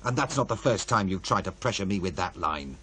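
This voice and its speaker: A man's voice: low voice, animated, a little fast, a bit bright, husky, heavily acted. An actor playing a wary, world-weary male producer in a radio play, blunt, sardonic and conversational. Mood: warning. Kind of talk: naturalistic radio play dialogue.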